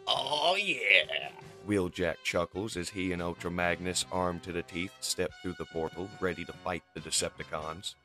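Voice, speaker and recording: A man's voice: deep voice, little pitch movement, moderate pace, few pauses, over music. A man reading dramatic scripted narration of a comic-book story.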